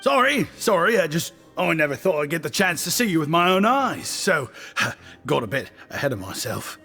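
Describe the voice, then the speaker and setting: A breezy, effusive young video game shopkeeper. His voice sits a bit high and moves around with animation. It rings in a long reverb, with some music behind. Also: very animated, normal speed, vocal fry, sharp tone, full character voice. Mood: apologetic, flattering. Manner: effusive, breezy, self-deprecating. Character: affable, chatty, flattering male stand-in shopkeeper.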